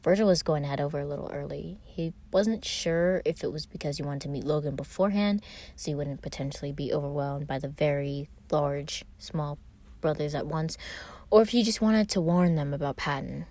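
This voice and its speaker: A woman's voice: deep voice, brisk, mellow. A woman reading a scripted story aloud as its narrator. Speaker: fan fiction reader.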